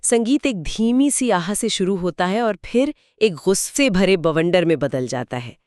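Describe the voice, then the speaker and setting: A woman's voice: fairly low pitch, lively intonation, measured pace, a little mellow, dry recording, clean studio sound. A woman giving explanatory, conversational film commentary in an earnest storytelling way. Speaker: podcast co-host.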